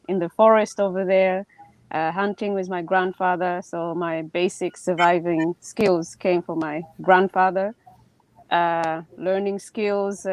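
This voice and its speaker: A woman's voice: lowish voice, darkish tone, no echo, poor audio. Close, dry-sounding woman from Tanzania. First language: Swahili. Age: 40s. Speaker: guest speaker, teacher. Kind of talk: casual personal storytelling on a video call. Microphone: video call.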